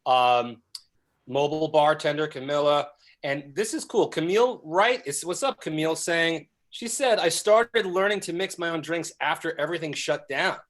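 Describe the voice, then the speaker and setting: A man hosting a live webinar on a video call, reading out viewer comments in an upbeat, energetic way. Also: fairly high pitch, moderate pace. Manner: upbeat, hyped, energetic shout-outs. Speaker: webinar host.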